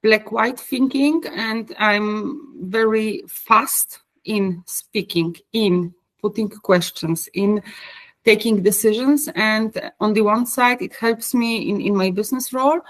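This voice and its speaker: A woman with a Polish accent, speaking English as a third language in a coaching group call, pausing often with fillers and restarts. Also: mid-range voice, steady tone, no echo, poor audio. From Poland. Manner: hesitant, many fillers and restarts. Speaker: coaching course participant. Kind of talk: group video call discussion.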